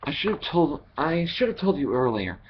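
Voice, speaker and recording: A young man reading scripted dramatic lines as an amateur voice actor, haltingly and with repeated words, into a headset microphone at home. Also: fairly high pitch, big pitch swings. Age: young adult. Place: wood-panelled living room at home. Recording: dull headset audio with background hiss.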